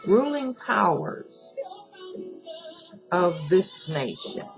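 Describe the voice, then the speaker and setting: A woman giving a solemn recitation of a protest poem, deliberate and pleading, pausing between short phrases. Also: medium pitch, very animated, vocal fry, rough recording. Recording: music behind the voice. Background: music.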